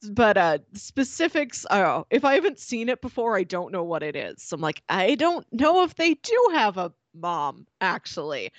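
A woman with an animated, widely varied intonation talks casually as a podcast co-host. Her mid-pitched voice is recorded very dry, with no room sound. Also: moderate pace, few pauses, no room sound. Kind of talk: casual chat about fiction.

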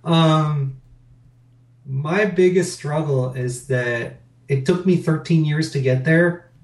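A man's voice: on the high side, takes it slowly. A middle-aged man talking candidly as an interview guest, reflective and rambling with fillers. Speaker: interview guest, business owner. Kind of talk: casual interview talk. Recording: roomy echo.

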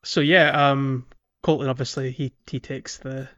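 A man's voice: mid-range voice, steady tone, a little slow, a bit bright, lo-fi sound. A man co-hosting a podcast in a casual, conversational way, hesitant and thinking aloud as he talks about a video game. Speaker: podcast co-host.

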